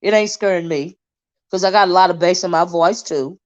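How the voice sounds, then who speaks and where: A woman's voice: on the low side, fairly quick. A woman hosting her own commentary stream, talking bluntly and emphatically, circling back on her points with plenty of fillers. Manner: blunt, emphatic, repeats herself with many fillers. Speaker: commentary channel host. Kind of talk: live-stream commentary monologue. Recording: dull lo-fi stream audio.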